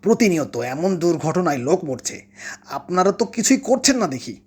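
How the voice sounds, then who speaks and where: A man's voice: sits high, big pitch swings, brisk, slightly rough, exaggerated voice. An actor playing an aggrieved local man complaining in a horror cartoon. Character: aggrieved local complaining to officials about repeated accidents. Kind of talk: cartoon voice acting.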